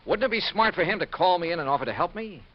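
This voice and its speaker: An actor playing a shrewd, confident, hard-boiled investigator with a clipped, probing manner in a 1950s radio detective drama. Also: male voice, sits high, animated, fast. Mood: shrewd, insistent. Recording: muffled, hissy 1950s radio recording.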